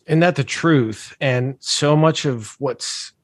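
A man's voice: mid-range voice, slow, no room sound, clean studio sound. A man hosting an interview, asking earnest, inquisitive questions. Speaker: interview host.

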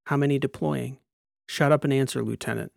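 A man's voice: medium pitch, fairly even intonation, fairly quick, creaky, a little mellow, no room sound, clean studio sound. A man voicing a commanding, demanding military examiner in an audiobook, with barked, clipped orders. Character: commanding one-eyed male military examiner, demanding, intense.

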